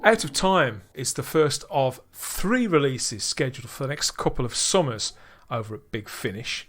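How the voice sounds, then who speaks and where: A man hosting a podcast discussion, animated and steering questions to his co-hosts. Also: mid-range voice. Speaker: podcast host.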